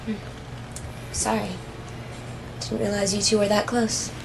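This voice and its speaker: An actress playing a subdued military pilot, speaking plainly in short, clipped phrases. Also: female voice, mid-range voice, monotone, a little slow, creaky. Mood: somber. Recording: noisy ambience behind the voice.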